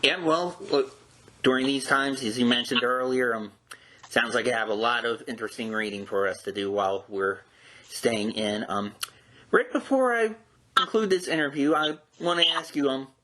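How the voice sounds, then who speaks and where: A man hosting an interview in a measured, polite conversational way, his phrases often trailing downward. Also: medium pitch, lively intonation, pushed and bright. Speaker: host, interviewer.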